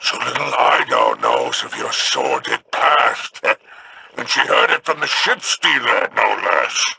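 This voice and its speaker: A man voicing a sly, greedy alien crime boss in a video game, gravelly and mocking. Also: medium pitch, very animated, background noise, heavily acted. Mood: smug, mocking. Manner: slow, mocking, drawn-out delivery. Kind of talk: video game character acting.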